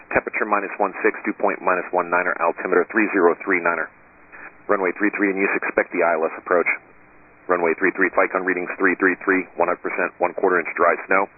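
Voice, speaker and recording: A man's voice: sits low, breathy edge, narrow, tinny audio. A man reading a scripted aviation weather broadcast in clipped, formulaic phrases over a radio. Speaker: airport weather information announcer.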